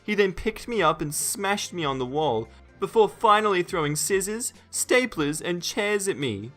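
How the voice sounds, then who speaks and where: A high-pitched, sobbing schoolboy tattletale acted out by a man in a storytime narration. He pleads and whines with bright, forceful exaggeration, over music. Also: big pitch swings, moderate pace. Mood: sobbing, whiny. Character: whiny lying twelve-year-old boy, sobbing tattletale.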